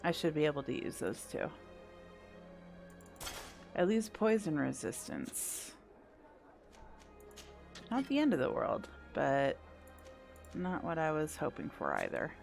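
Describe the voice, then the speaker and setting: A woman's voice: low-pitched, sing-song, slow pace, vocal fry, long pauses, a little mellow, soft background music. A woman giving casual video game playthrough commentary, thinking aloud with mild exclamations.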